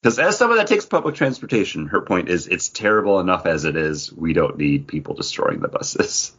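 A man hosting a casual podcast, chatting in an animated, joking way with quick asides. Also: sits low, breathy edge, muffled recording. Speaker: podcast host.

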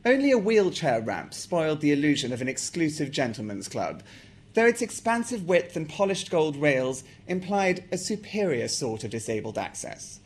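A man's voice: fairly high pitch, sing-song, normal speed, husky. A man with an English accent, from South Africa, talking about his novel and reading from it aloud. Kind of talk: author talk and reading aloud.

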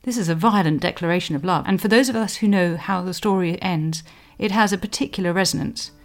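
A woman presenting a scripted history explainer in a measured, scholarly manner, giving emphasis to quotations. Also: lowish voice. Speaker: podcast host, historian.

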